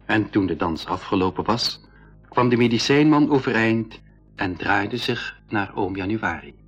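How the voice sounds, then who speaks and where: An actor playing a nephew on a jungle expedition in a radio play, also telling the story, in a measured, inquisitive way. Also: male voice, on the low side, slightly rough, soft background music. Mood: measured, storytelling. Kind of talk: 1980s school radio play acting and narration.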